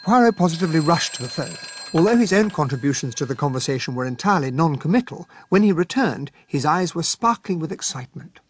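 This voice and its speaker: An actor playing a genial, earnest English gentleman, a detective's loyal sidekick, mild and polite with an English accent in a radio drama. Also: on the high side, very animated, quick pace, few pauses, slight background noise. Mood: reflective narrating. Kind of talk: radio drama narration and dialogue.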